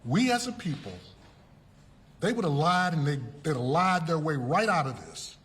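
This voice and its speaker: A man's voice: on the high side, lively intonation, moderate pace, fairly bright tone. A man giving impassioned public remarks, recorded in a reverberant space. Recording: long hall reverb, background noise.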